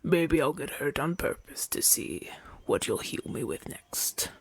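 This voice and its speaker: A man playing a proud, haughty Roman gladiator in an ASMR audio roleplay, imperious and formal, often dropping to a breathy murmur. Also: on the high side, animated, measured pace. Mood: softening, grudging. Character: proud, haughty Roman gladiator champion, gruff but softening.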